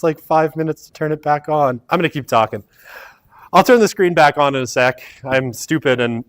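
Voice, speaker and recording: A middle-aged man lectures a university sociology class in an auditorium. He talks in a loose, rambling way, pokes fun at himself, and keeps checking in with a quick "right?". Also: mid-range voice, a bit bright. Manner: rambling and self-deprecating, frequent "right?" tags.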